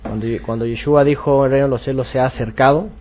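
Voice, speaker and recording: A man teaching scripture informally, explaining haltingly and often repeating himself. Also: mid-range voice, steady tone, phone-quality sound, slight background noise. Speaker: religious teacher.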